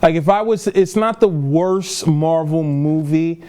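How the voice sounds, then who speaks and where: A man's voice: on the high side, unhurried, mellow, clean tone. A man co-hosting a casual film review chat, talking in a rambling, emphatic way and repeating himself. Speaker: film podcast co-host.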